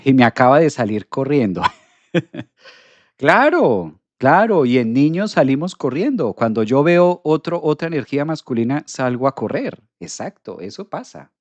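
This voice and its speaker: A man talks straight to his viewers on a live astrology stream. His pitch sits in an ordinary middle range, and he repeats phrases for emphasis. The sound is dry and close, with no room echo. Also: normal speed, dull audio. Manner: animated, repeats phrases, addresses audience directly. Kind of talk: live stream talk reading viewer comments.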